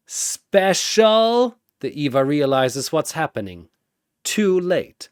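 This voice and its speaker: A man delivers dramatic battle narration at a deliberate pace. His theatrical voice swings widely in pitch and builds suspense, in a close, dry recording. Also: sing-song, dry recording.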